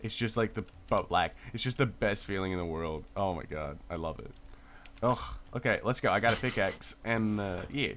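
A man's voice: low voice, moderate pace, a bit bright, a little hiss or hum. A young man hosting a gaming video, chatting and joking playfully with a friend while he plays. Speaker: gaming channel host. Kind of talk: casual gameplay banter.

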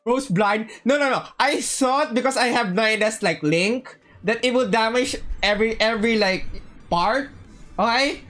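A game streamer giving casual, excitable live commentary, often repeating short phrases. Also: medium pitch, unhurried, faint music behind. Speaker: livestreamer playing a game.

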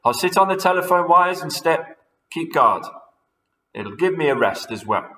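A man voicing a plump, panicky male bird with a shrill, squealing voice, reading it aloud as a storybook character. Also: big pitch swings, normal speed, bright, forceful tone, echoey room. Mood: breathless. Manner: breathless and panicky.

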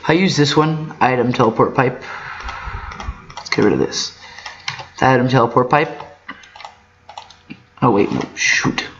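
Slow-talking man, muffled echoey recording. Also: medium pitch, a bit bright. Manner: halting, rambling, thinks aloud while working. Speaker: gaming tutorial host. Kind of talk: casual unscripted game walkthrough commentary. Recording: muffled lo-fi mic in echoey room.

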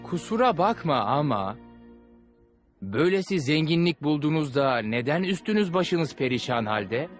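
A man's voice: a little high, very animated, normal speed, faint music behind. A man voicing a pompous, incredulous duke in a dubbed cartoon, with theatrical mocking delivery. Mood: scoffing. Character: pompous, scoffing Duke of Venice, incredulous male ruler.

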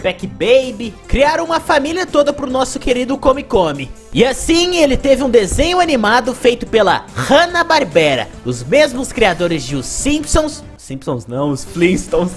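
A woman with a Brazilian accent giving casual, playful scripted commentary as a chatty video game host. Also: on the high side.

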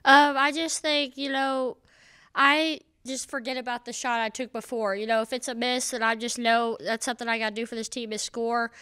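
Brief: young female athlete, bright crisp voice, eager run-on answers, dry close desk mic. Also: fairly high pitch, flat delivery, moderate pace, dry recording. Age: young adult. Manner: eager, upbeat, run-on sentences. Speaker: college basketball player. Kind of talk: post-game press conference answers. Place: press room at a table. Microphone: desk mic on a stand.

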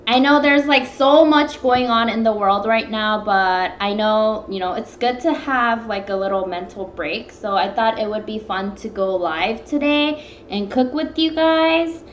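A woman's voice: a little high, a little slow, a little hiss or hum. A young woman chatting casually and informally as the host of a live home cooking stream, meandering as she talks. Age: young adult. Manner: informal, meandering, greeting viewers. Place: small home room, indoors at a table. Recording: echoey, dull webcam stream, background music.

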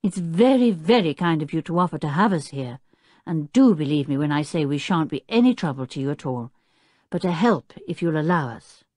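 A woman's voice: low-pitched, animated, a little fast, a little mellow, no room sound, clean studio sound. A woman voicing a polite, earnest, well-mannered teenage boy in a storybook.

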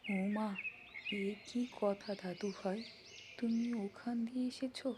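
A woman's voice: medium pitch, steady tone, slow pace, background noise, lightly acted. A woman playing an anxious, doting village grandmother who fusses and scolds in a folk-tale cartoon. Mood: worried scolding.

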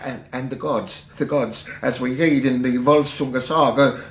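An actor playing an agitated, timid foreign professor in a radio drama, stammering and halting. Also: male voice, medium pitch, fairly even intonation, roomy sound, a little hiss or hum, lightly acted. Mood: flustered.

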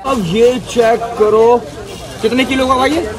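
Young male vlogger, high voice, chatty market walkthrough on a phone mic, street outdoors. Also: fairly even intonation, a little slow, over music, crowd noise, poor audio. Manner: chatty, repeats prices to camera. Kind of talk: handheld market walkthrough vlog. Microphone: phone held at arm's length.

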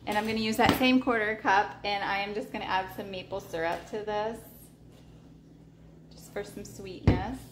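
A woman casually talking through her meal prep in a home kitchen, relaxed and chatty with lots of ums. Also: medium pitch, normal speed, very noisy. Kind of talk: casual cooking vlog narration. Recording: echoey kitchen with clattering dishes. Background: clinking cutlery, dishes and pans.

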